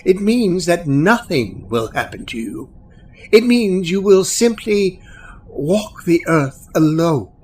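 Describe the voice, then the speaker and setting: Man playing slow, detached guide to the dead. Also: sits high, very animated, heavily acted. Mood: detached, matter-of-fact. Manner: deliberate, detached, measured. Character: calm, matter-of-fact otherworldly guide to the newly dead. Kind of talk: audio drama acting. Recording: faint background noise.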